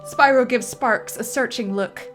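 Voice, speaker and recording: Lively woman narrating a script. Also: a little high, measured pace, faint music behind. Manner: lively, dramatic narration with laughter. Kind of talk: reading stage directions aloud.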